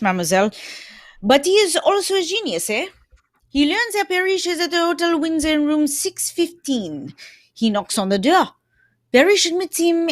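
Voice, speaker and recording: Brief: woman as courteous, self-assured male sleuth, high voice, theatrical radio-play delivery, close dry sound. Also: no room sound. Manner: theatrical, explanatory, punctuated with "eh?". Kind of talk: old-time radio mystery acting.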